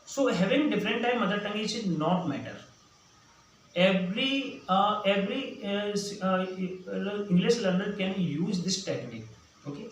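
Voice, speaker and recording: A man from central India with an Indian accent, a Hindi native speaker, teaching a spoken English lesson with many fillers and restarts. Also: high-pitched. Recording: echoey room, distant mic, faint noise.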